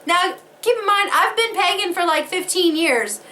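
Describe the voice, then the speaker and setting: Bright-voiced woman losing her voice. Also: extremely high pitch, moderate pace. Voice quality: laughs often, swears casually. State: losing her voice from a head cold. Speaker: vlogger. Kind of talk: casual chat to camera.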